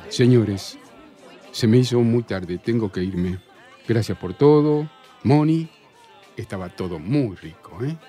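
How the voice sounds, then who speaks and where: A man's voice: on the low side, animated, slow pace, warm tone, faint music behind, some chatter behind. A man with an Argentine accent playing a polite, earnest, smitten dinner guest in a radio play. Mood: polite, weary.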